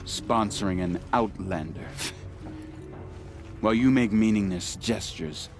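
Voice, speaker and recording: Snooty arrogant hunter lord in a game, slow, kinda low, disdainful. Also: male voice. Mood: scornful. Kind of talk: dramatic video game acting. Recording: music behind.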